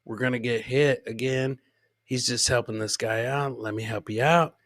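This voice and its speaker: A man's voice: mid-range voice, fairly even intonation, moderate pace, a bit bright, no room sound, clean studio sound. A man hosting a casual live comedy show, commenting on clips, repeating his phrases and talking to his producer and viewers. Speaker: podcast host.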